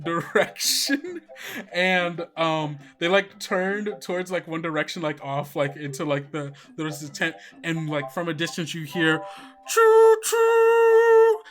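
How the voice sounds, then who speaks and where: A man's voice: high voice, expressive, slow pace, a bit bright. A man narrating as game master of a tabletop game, rambling and loose with lots of fillers.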